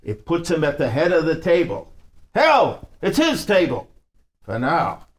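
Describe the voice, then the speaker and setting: An actor at a table read playing a persuasive, pragmatic senior mobster. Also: male voice, fairly high pitch, huge pitch swings, unhurried. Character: persuasive, pragmatic male senior mob boss. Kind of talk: live script table reading.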